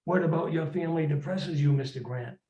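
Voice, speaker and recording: A man's voice: fairly high pitch, brisk, dark tone, natural voice. A man voicing a reserved male psychiatrist who asks short, formal, noncommittal questions in a story reading. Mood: probing, neutral. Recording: roomy, slightly dull room recording.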